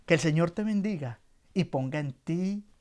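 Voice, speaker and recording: Earnest pastor preaching a sermon. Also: male voice, a little high, expressive, normal speed, background noise. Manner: earnest and emphatic, softening into prayer. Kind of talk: sermon with closing prayer.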